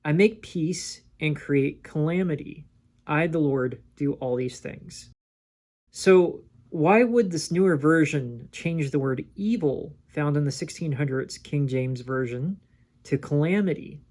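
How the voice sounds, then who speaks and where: A man with a slightly high-pitched voice talks through Bible verses on his own. He reads scripture aloud, then explains it with simple everyday comparisons in a measured teaching tone. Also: darkish tone. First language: English. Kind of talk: scripted solo teaching talk.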